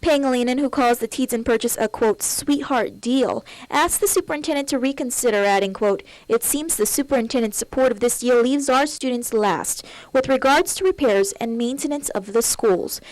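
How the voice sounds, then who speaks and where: A woman with a fairly high voice narrates a scripted television news report. She speaks as a reporter presenting a story to viewers. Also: moderate pace, few pauses, darkish tone, no echo, poor audio.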